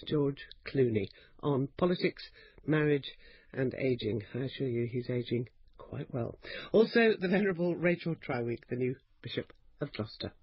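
Husky male radio announcer, dry studio. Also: medium pitch, no room sound, muffled recording. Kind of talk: scripted continuity announcement.

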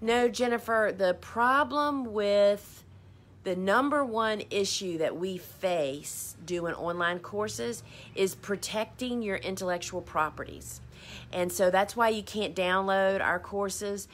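A woman's voice: medium pitch, slow pace, fairly bright tone, slight background noise. A woman, hosting a casual live stream and answering viewer questions in a chatty, rambling, self-deprecating way. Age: 50s. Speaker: host.

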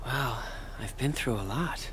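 An actor playing a boyish young game hero, earnest, dependable and modest. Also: male voice, medium pitch, a little slow, fairly bright tone, slight background noise, natural voice, rough recording. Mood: casual. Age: young adult.

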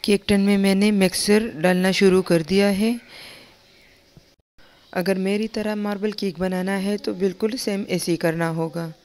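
A young woman host giving a calm, step-by-step recipe voice-over for her home cooking channel. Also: medium pitch, normal speed. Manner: calm, instructive, repeats steps plainly.

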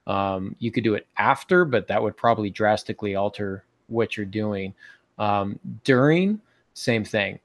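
A man's voice: lowish voice, a little slow, no echo. A man in his forties giving casual advice as a podcast co-host on a video call, thinking aloud in a tentative way. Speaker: podcast co-host and writing advisor. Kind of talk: casual advisory talk. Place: small home room with bookshelves, indoors. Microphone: video call on earphones.

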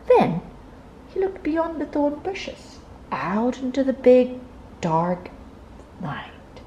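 A woman storyteller reading a children's picture book aloud in an expressive, deliberate way. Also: high-pitched, dull audio, slight background noise.

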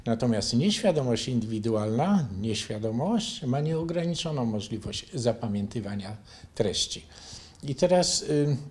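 An older man from Poland speaks as an interview guest. His pitch sits in an ordinary middle range for a man. He explains his points in a measured way, like a teacher setting out definitions. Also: a little mellow. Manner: measured, didactic. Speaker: interview guest, bioenergy therapy teacher and author. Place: furnished living room, indoors.

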